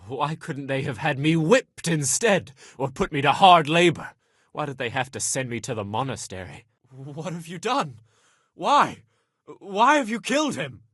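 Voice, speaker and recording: A man's voice: a little high, expressive, a little slow, a bit bright, slightly rough, dry recording, studio quality, heavily acted. A man playing a lusty, self-pitying young nobleman in a video game, complaining dramatically in coarse, colloquial speech. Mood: bitter, wretched, then outraged, grieving. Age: young adult. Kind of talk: video game character dialogue.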